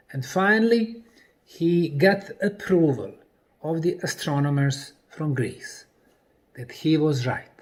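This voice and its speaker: A man presenting in a lecture style, deliberately, in short separated phrases with emphasis on key words. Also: a little high. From Bosnia. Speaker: presenter and researcher.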